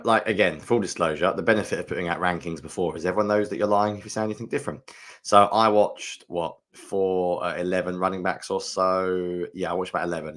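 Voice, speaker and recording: A man's voice: low-pitched, fairly bright tone. A man co-hosting a sports podcast, giving frank, opinionated analysis and thinking aloud with fillers. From United Kingdom. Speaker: podcast co-host.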